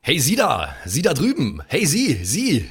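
A man with a husky, fairly high voice works as podcast host. He pushes an improvised comic promo with insistent hawker salesmanship. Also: huge pitch swings, a little slow, a bit bright, soft background music.

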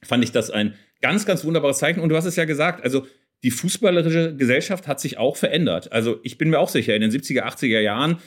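A man's voice: on the high side, fast talker. A middle-aged man co-hosting a casual football discussion, explaining things earnestly and at length. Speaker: podcast co-host.

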